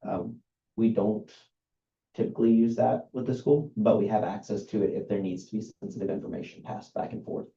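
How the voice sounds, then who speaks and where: Dark-voiced, monotone man reading aloud. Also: on the low side, a little hiss or hum. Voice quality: dull, monotone delivery. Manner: halting, many ums and uhs. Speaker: outside accountant. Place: meeting room. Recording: roomy, dull lo-fi meeting recording.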